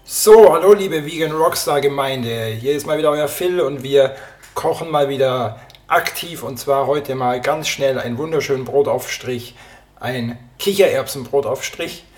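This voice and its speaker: A man with a slightly hoarse voice from a cold, hosting a cooking podcast and chatting casually as he prepares a recipe in his home kitchen. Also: mid-range voice, moderate pace. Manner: relaxed, talks while chopping and cooking. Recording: kitchen clatter and clicks behind. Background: dishes, pots and pans clattering.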